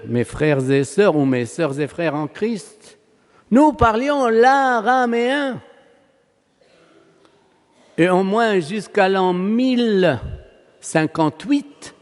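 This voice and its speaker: A Palestinian man, a native Arabic speaker with a Palestinian Arabic accent, preaching a sermon as a priest in an emphatic, oratorical manner with rhetorical questions and pauses. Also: high-pitched. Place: large church, preaching to a congregation. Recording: long reverberant church hall echo.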